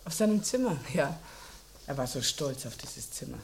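An actress playing a composed, wistful widow, gently candid, in a radio crime drama. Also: female voice, low voice, sing-song, moderate pace, gravelly, a little hiss or hum, heavily acted. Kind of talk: German radio play acting.

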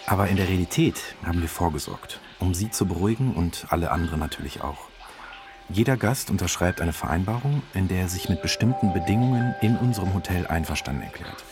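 A man speaking as a host, addressing listeners formally and politely like a radio presenter. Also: sits low. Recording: music behind the voice.

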